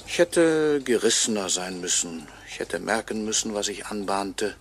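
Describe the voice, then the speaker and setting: An actor playing a wry, resigned murdered clock repairer who narrates in measured, sardonic phrases in a 1950s radio play. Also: male voice, fairly low pitch, lightly acted. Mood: brooding, bitter. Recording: faintly noisy 1958 radio recording.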